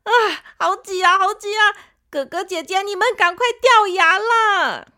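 A woman's voice: expressive, measured pace, pushed and bright, exaggerated voice. A woman voicing a young boy tooth in a storybook, whiny and demanding. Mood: bratty. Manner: shrill complaining, demanding. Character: whiny bossy young male baby tooth.

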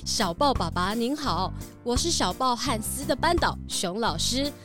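A woman's voice: fairly high pitch, animated, moderate pace, over music, close to an everyday voice. A woman playing a courteous, apologetic bear schoolteacher in a children's audio drama. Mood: polite. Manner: courteous, formal, reassuring.

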